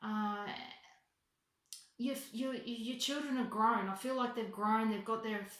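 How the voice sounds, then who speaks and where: A woman giving an unscripted psychic reading as a voicemail, halting and correcting herself as she goes, often repeating phrases for emphasis. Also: on the high side, steady tone, a bit bright. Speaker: psychic reader. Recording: roomy, echoing voice recording.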